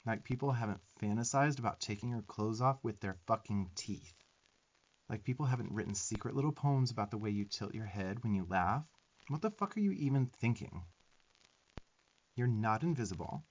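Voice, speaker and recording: A man's voice: fairly low pitch, lively intonation, moderate pace, muffled recording. A man voicing a sarcastic, bossy but affectionate little demon twink in a profane, emphatic ASMR roleplay. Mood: sassy insistence. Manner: sassy, scolding, profane, emphatic.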